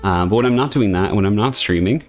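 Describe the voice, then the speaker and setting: A man's voice: lowish voice, fast, faint music behind. A man streaming from home, chatting earnestly and rambling, with frequent hesitations. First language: English. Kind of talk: casual debut stream chat.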